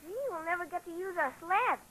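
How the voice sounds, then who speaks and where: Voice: extremely high pitch, brisk, sharp tone. A performer playing an eager, trusting young boy in 1940s radio comedy-drama, wide-eyed and insistent, full of boyish slang. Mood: curious. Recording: 1940s radio broadcast recording.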